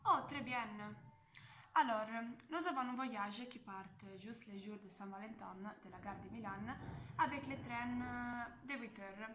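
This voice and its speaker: A woman's voice: a little high, a little slow, noisy background, close to an everyday voice. Echoey, chirpy female travel clerk, a woman acting. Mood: enthusiastic. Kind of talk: amateur classroom role-play dialogue. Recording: roomy echo.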